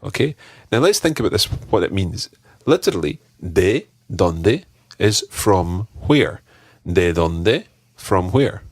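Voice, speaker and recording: A man teaching on a podcast. His voice sits low but swings widely in pitch as he coaches, and he takes his time, speaking at an unhurried pace. The recording is dry and close, with no room sound. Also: big pitch swings, slightly rough, no echo. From Scotland. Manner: patient, slow model pronunciation with repeated drills. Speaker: language teacher. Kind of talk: teaching a podcast language lesson.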